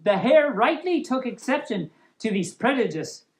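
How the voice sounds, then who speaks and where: A woman's voice: on the high side, very animated, moderate pace. A woman reading a humorous story aloud as host, playful and giggly, breaking off for casual asides. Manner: playful, giggly, stumbles over words and riffs on them.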